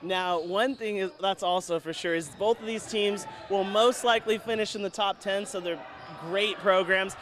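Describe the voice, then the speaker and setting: A man hosting a high school sports show with upbeat presenter patter. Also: steady tone, moderate pace.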